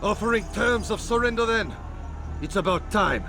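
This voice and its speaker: A suspicious crusader king in a video game. He is haughty and commanding, his voice pitched high, with music clearly behind him. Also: normal speed. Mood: scornful. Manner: imperious, commanding. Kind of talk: video game cutscene acting.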